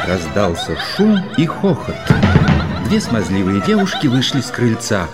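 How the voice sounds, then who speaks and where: A man narrates a literary story in a low register. His delivery is scripted yet animated in its intonation, and loud music plays behind him. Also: measured pace.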